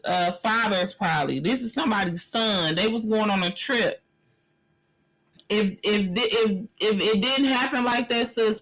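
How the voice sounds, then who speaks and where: A woman in her forties hosting a live stream, talking in a casual, blunt way. Her pitch sits in a middle range, and she leans hard on her points while addressing the viewer directly as "sis". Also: a bit bright, low-quality recording. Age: 40s. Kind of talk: casual commentary on a news story.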